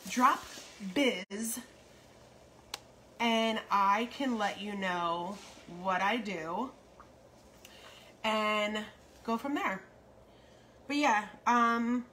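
A woman's voice: mid-range voice, fairly bright tone. A middle-aged American woman chatting casually and rambling a little as she cooks for an online audience in her home kitchen. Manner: unhurried, rambling asides while busy cooking. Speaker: home cook and online host. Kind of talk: casual cooking livestream chat. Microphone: phone propped nearby. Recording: noisy kitchen, sizzling pan. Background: frying sizzle and stirring.

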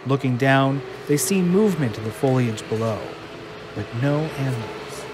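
A man reading stage directions aloud as narrator in an even, descriptive way. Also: medium pitch, moderate pace, background noise. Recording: loud music underneath.

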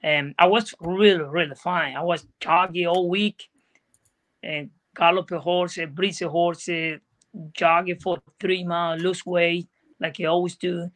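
Bright-voiced Venezuelan man on video call. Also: high voice, steady tone, a little slow. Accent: Venezuelan Spanish. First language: Spanish. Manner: earnest, plain-spoken non-native phrasing. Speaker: guest jockey. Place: indoor room at home. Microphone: video call from laptop.

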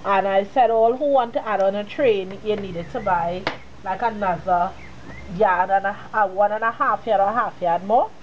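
A woman's voice: medium pitch, moderate pace, lo-fi sound, background noise. A woman with a cold and a sniffle, walking viewers through a sewing project in a chatty, casual way. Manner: chatty, talks straight to the viewer. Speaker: sewing tutorial host. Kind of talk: casual step-by-step sewing tutorial.

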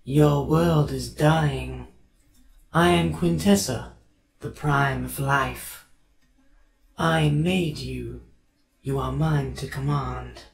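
A man voicing a commanding, menacing female alien robot from a film, his voice processed with pitch-shifting effects. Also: steady tone, gravelly, faint music behind, full character voice, rough recording. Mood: cold, commanding. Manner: slow, imperious, declamatory. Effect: layered pitch-shifted, garbled metallic robot modulation.

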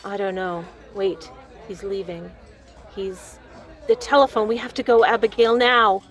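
A woman's voice: medium pitch, steady tone, a little slow, crowd noise, close to an everyday voice. A woman playing a devoted, scheming criminal lover in a period radio melodrama, with romantic, theatrical phrasing. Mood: urgent, hushed.

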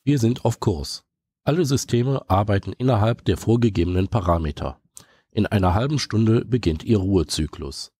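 Man acting a low, dark-voiced ship's computer. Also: lively intonation, husky, no room sound. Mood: formal, calm. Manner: formal, measured announcements. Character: calm, dutiful male ship's computer in a space audio drama. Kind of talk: science fiction audio drama.

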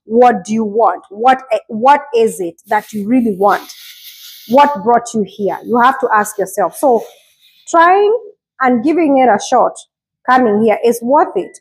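A woman's voice: on the high side, animated, unhurried, husky, poor audio. A woman, casually encouraging viewers in a vlog while cooking in her home kitchen, recorded on a lapel mic. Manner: earnest, encouraging, repeats herself; talks while washing and chopping vegetables. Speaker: vlogger.

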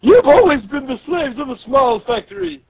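Man doing a high, scornful young lady boss. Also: very animated, moderate pace, vocal fry, no room sound, lo-fi sound, exaggerated voice. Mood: scornful, commanding. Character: bossy twenty-year-old female factory manager, scornful villain.

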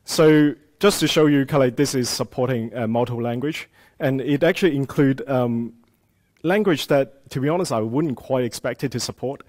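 A man's voice: mid-range voice, moderate pace, a little mellow. A man from Hong Kong, a native Cantonese speaker, giving a live conference tech talk in a casual style with many fillers. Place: conference room with audience.

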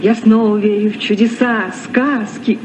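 An actress playing a kindly, sentimental woman librarian in an old children's radio play, with an expressive theatrical delivery. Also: mid-range voice, measured pace, far from the mic, noisy background, close to an everyday voice. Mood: dreamy wonder. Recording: muffled old radio recording, music behind.

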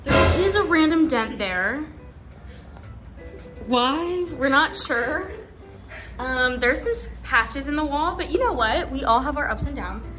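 Casting: teen woman vlogger, eighteen, bright-ish voice, dry sarcasm, music under her. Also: high-pitched, slow pace. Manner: sarcastic, deadpan, swearing. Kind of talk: casual vlog chat. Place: car, then small hotel room. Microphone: phone held at arm's length.

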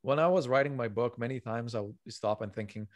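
A man's voice: medium pitch, fairly quick, dry recording. Earnest non-native male author, dry sound. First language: not English. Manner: earnest, emphatic, gives examples. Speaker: author guest. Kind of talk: podcast interview. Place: home room with bookshelves. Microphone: video call, headset.

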